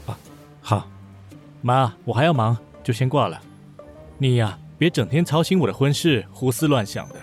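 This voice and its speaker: A man's voice: mid-range voice, normal speed, faint music behind, natural voice. An actor playing an aloof, curt male boss of about thirty in a modern comedy radio drama. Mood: exasperated. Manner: curt, cool, exasperated.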